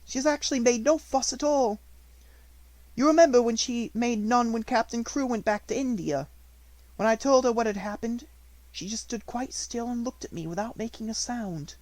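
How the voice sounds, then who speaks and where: A man's voice: very high voice, moderate pace, darkish tone, no room sound, voice pushed up, low-quality recording. A man voicing a flustered, uneasy woman in an audiobook story, fussy and wondering in manner. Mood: uneasy, bewildered. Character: flustered, uneasy woman telling of a strange child.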